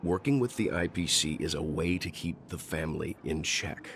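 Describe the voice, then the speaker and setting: An actor playing a calm, seasoned older man, a protective mentor speaking formally and deliberately in a video game. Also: a bass voice, a little hiss or hum. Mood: suspicious, deliberate. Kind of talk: scripted video game dialogue. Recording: game audio with music underneath. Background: game music.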